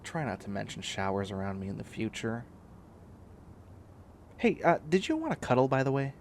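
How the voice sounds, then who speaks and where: Animated, whiny, bossy catboy, acted by a man. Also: mid-range voice, normal speed, slight background noise. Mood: content, defensive. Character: bossy, clingy young man turning into a catboy. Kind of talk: comedic roleplay audio drama acting.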